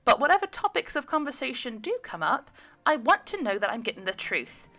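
A woman voicing a pregnant woman who is blunt, excitable and teasing as she talks with an old friend in an audiobook. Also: high voice, brisk, faint music behind, pitched above the performer's own voice, heavily acted. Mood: frank, firm. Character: pregnant woman, candid, bold and teasing, meeting former best friend.

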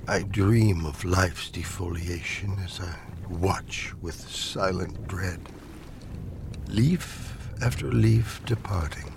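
A man giving a solemn, measured dramatic reading of poetry, often dropping into hushed, breathy phrase endings, as a poetry reader. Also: low voice, noisy background. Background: ambient sound design.

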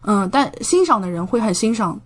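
A woman's voice: medium pitch, animated, fairly quick. A young woman chatting casually as a podcast guest, explaining things with plenty of concrete examples. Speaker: podcast guest, medical PhD student studying in Japan.

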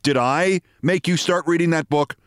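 A man's voice: on the high side, normal speed, clean tone, no room sound, studio quality, close to an everyday voice. A man playing a stubborn middle-aged husband who talks in a defensive, petulant, indignant way.

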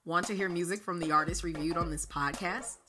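Female promo read, a little low-pitched, inviting, with a music bed up front. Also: fairly even intonation, fairly quick. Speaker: ad reader. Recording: voice over loud music.

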